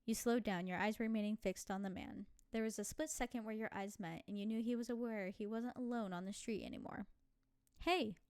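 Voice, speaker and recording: A woman streams a story game in a very dry, close recording. She reads narration at a middling pitch and slips into informal, giggly asides in her own voice. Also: fairly even intonation, fairly quick, hardly any pauses, a little mellow, clear, resonant tone, no room sound. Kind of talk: let's-play, reading visual novel narration aloud with casual asides.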